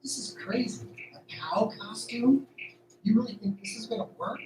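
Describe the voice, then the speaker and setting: A man's voice: high-pitched, moderate pace, lightly acted. A man playing an earnest, stubborn farm worker in an audio drama. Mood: doubtful. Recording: roomy, distant, noisy amateur recording.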